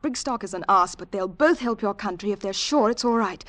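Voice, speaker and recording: An actress playing a bold, impulsive young woman in love in a 1940s radio drama, persuasive and quick to shift from pleading to brisk. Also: medium pitch, lively intonation, fairly bright tone, natural voice. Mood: brisk, determined. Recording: 1940s radio broadcast recording.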